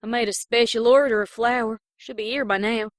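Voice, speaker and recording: A woman's voice: on the high side, steady tone, normal speed, no room sound, clean studio sound, natural voice. A woman playing a caring big-sister cartoon pony with folksy country turns of phrase in an audio drama fan reading. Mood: warm, reassuring.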